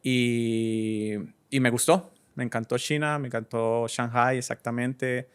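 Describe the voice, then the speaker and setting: A Colombian man from Cúcuta in his thirties, answering interview questions in an easygoing, hesitant way with many pauses. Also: medium pitch, steady tone, clean tone. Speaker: guest DJ and entrepreneur.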